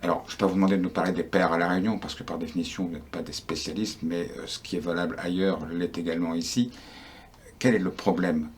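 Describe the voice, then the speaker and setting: A man's voice: low-pitched, steady tone, fast, slight background noise. A man interviewing a guest for a news outlet, chatty and often restarting his sentences.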